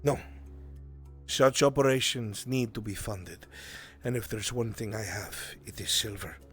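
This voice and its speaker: A man in live roleplay voicing a grim, pleading man bent on revenge, speaking in solemn, deliberate, formal phrases. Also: on the low side, lightly acted. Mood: earnest, determined. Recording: music under the voice. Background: music.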